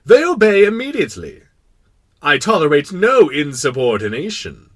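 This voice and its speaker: A man voicing a proud, kindly but pompous king in a storybook, making imperious declarations with drawn-out words. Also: on the high side, wildly swooping pitch, no echo, studio quality, pitched above the performer's own voice. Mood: grandly self-assured. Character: proud, kindly, pompous absolute monarch of a tiny planet.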